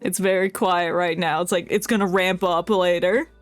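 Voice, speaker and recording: A woman's voice: fairly low pitch, fairly even intonation, normal speed, no echo, soft background music. A woman chatting casually as she streams a game, with a playful, mock-dramatic manner. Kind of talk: casual livestream gameplay chat.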